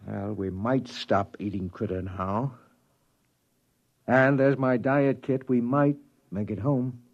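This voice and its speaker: A man's voice: mid-range voice, slow pace. A dry-voiced spaceship crewman. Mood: grim. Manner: matter-of-fact storytelling. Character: male space crewman narrating, steady and dry. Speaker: narrator. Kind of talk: 1950s radio drama acting. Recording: muffled 1950s radio broadcast.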